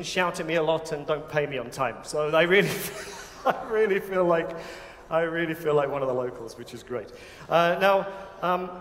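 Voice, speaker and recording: An English man hosting an awards gala with a joking, self-mocking, chatty manner. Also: on the high side. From England. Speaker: awards host. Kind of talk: comic stage hosting. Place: large banquet hall with audience. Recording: long hall reverb.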